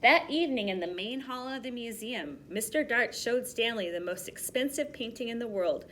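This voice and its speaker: A woman reading a children's story aloud expressively, pausing to explain things simply to young listeners. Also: on the high side, expressive, fairly bright tone, slight background noise.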